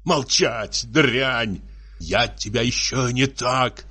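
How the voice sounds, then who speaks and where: A man's voice: medium pitch, sing-song, a little slow, sharp tone, slightly rough, voice pushed up, heavily acted. A man voicing a tipsy, jealous, bullying husband who scolds in sputtering, tearful exclamations for a story. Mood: furious. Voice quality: muffled, tearful, raised voice.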